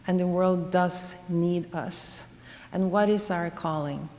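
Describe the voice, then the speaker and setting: Slow, gravelly-voiced woman from Norway. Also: fairly low pitch, long reverb tail. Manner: slow, gentle, storytelling with wry humor. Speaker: guest speaker. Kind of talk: devotional talk.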